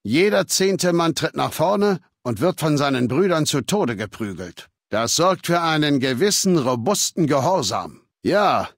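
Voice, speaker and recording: An actor playing a ruthless, learned middle-aged warlord in a video game, speaking in a measured, authoritative, lecturing way with cold arrogance. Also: male voice, on the high side, big pitch swings, no echo, clean studio sound, heavily acted. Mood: cold, explanatory. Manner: measured, authoritative, lecturing, coldly matter-of-fact. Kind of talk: scripted video game dialogue in German.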